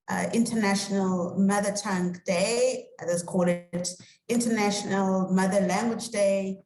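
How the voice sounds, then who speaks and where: A woman with a mid-pitched voice, recorded in an echoey room. She welcomes participants as a discussion moderator, phrasing things haltingly. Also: darkish tone. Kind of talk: welcome address at an online panel.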